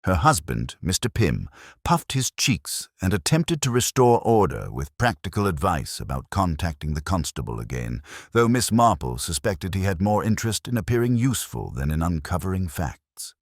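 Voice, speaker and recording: A man with an extremely low voice narrates a mystery story in measured, literary fashion. His pitch moves in lively swells and dips across each phrase. The recording is dry and close, with little sense of a room. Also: no room sound. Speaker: audiobook narrator.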